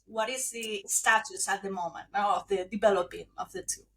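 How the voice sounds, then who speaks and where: A woman with a Spanish accent, a linguist guest who talks in an animated way and pauses to search for words. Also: mid-range voice. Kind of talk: casual podcast chat.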